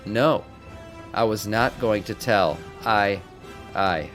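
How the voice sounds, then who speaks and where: Man voicing ominous male orc from a fantasy game, low, solemn, portentous, faint music underneath. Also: slow, fairly bright tone. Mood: ominous reverence. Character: grave, ominous male orc warning of cosmic schemes. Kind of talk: reading game character dialogue aloud.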